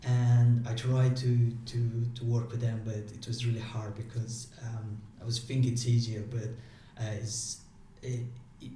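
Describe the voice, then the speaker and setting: Halting non-native man in an echoey room. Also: medium pitch, completely flat delivery, warm tone. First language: not English. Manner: halting, many restarts and fillers. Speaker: guest. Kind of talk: casual interview answers. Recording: echoey room, distant mic, faint noise.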